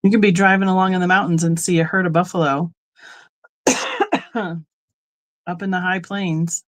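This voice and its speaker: A woman's voice: lowish voice, lively intonation, unhurried. Chatty middle-aged woman hosting a live sale. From Colorado, United States. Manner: chatty, rambling asides, frequent ums and laughs. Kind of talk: casual live-stream selling chat.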